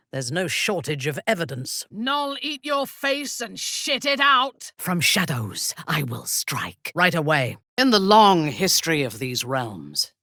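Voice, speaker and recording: A woman voicing a ruthless, haughty warrior zealot for a video game, speaking in an imperious, measured, menacing way. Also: lowish voice, sharp tone, no room sound, clean studio sound, exaggerated voice. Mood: stern, assured, then fierce battle cries. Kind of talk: video game character voice lines.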